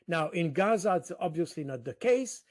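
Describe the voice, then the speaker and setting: A man giving explanatory political commentary in an interview, halting as he searches for words. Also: on the high side, lively intonation, crowd noise.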